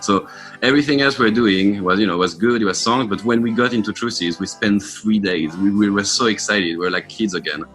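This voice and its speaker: Deep-voiced man chatting, bright and forceful. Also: a little fast, poor audio. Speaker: musician in a band, interview guest. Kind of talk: casual interview chat. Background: music.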